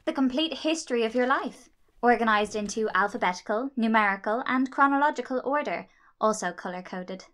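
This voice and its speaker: A woman's voice: on the high side, a little fast, a bit bright, natural voice. A woman playing a helpful, earnest angel of good in a radio drama, explaining things matter-of-factly. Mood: earnest, explanatory.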